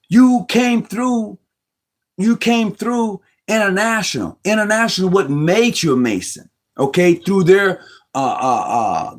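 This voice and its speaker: Emphatic man arguing a point. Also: high-pitched. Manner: emphatic, argumentative, often restarts words. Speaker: host. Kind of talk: casual Masonic discussion.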